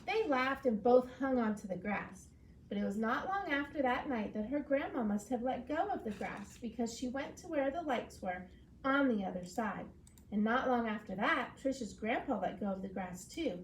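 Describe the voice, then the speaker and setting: A woman schoolteacher giving an expressive storybook read-aloud lesson in a clear, explanatory classroom manner. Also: a little high, slight background noise, poor audio.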